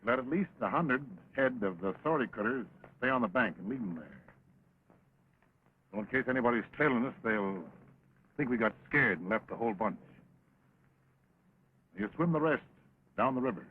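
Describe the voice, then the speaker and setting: An actor playing a sly, bossy American cattle-rustler leader in an early talkie western, rough-edged and commanding. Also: male voice, medium pitch, lively intonation, moderate pace, long pauses. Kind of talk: early 1929 talkie western film acting. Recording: very muffled 1920s film soundtrack.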